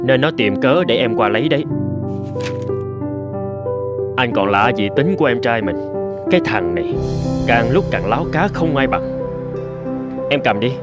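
A man voicing a busy clinic director and welcoming elder brother-in-law in a cartoon drama, familiar and unhurried. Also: mid-range voice, lively intonation, over loud music, lightly acted. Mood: disapproving.

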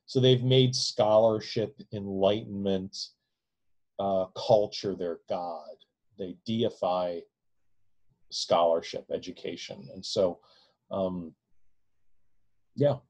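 A man in his forties lectures casually from a room at home, talking straight into a close webcam. His voice sits a little low, and he wanders into asides and tags his points with "right?". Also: steady tone, slow, pauses often, darkish tone. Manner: discursive, frequent "right?" tag questions. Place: home room with bookshelves, indoors. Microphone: webcam at close range.

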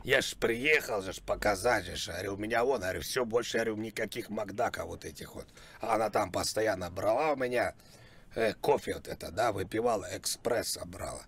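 Man putting on a husky, rambling older guy. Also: lowish voice, brisk, fairly bright tone. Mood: earnest, rambling. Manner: rambling, repetitive, mock-apologetic, oversharing. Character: crude, rambling older man, shameless coffee-machine customer. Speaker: prank caller. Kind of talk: prank phone call, improvised.

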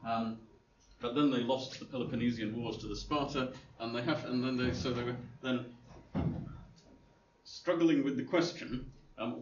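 A man lecturing to a class in a loose, rambling way, full of ums and restarts, with a dry humor. He sounds distant and roomy, as if caught from across a hall. The recording is dull and lo-fi, with a faint hiss. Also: medium pitch. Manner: rambling, hesitant, many fillers and restarts. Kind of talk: informal lecture with slides.

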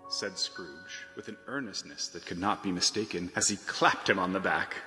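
A male narrator reads a classic story dramatically. His voice is on the low side and carries a long hall reverb, with clear music playing behind him. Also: normal speed, bright, forceful tone.

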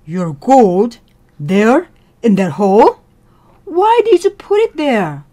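A woman reads the part of a practical male passer-by in a fable. The voice is deliberate and blunt, with lively rises on pointed questions. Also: a little high, exaggerated voice. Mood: incredulous. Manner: questioning, matter-of-fact. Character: sensible, blunt male passer-by advising a miser.

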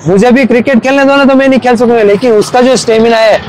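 A young man from Gujarat, a cricket fan, talking eagerly and haltingly in a casual outdoor street interview. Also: very high-pitched, fairly even intonation, slight background noise, low-quality recording. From Gujarat, India. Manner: eager, halting, with many restarts.

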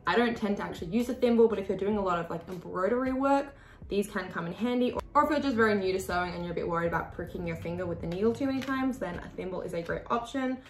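A woman's voice: medium pitch, racing, echoey room. A woman, chatting to camera as she hosts a sewing tutorial from her desk. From Australia. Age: 20s. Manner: chatty, expressive hand gestures. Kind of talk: casual tutorial talk to camera. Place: small room at a desk with a sewing machine.

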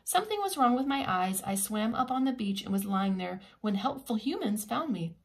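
A woman's voice: medium pitch, very animated, normal speed. A woman voicing a friendly, cheerful young female dolphin in a storybook, with a sweet, inviting lilt. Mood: explaining.

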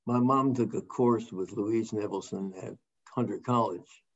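An older man moderating a video call Q&A, reading out audience questions in a relaxed, conversational way. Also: fairly low pitch, measured pace. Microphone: video call webcam. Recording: dull lo-fi call audio.

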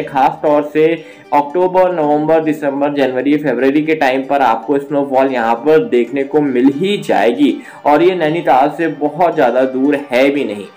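A man narrating a travel guide video as its host, with a steady, inviting tour-guide delivery. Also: a little high. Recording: faint background music.

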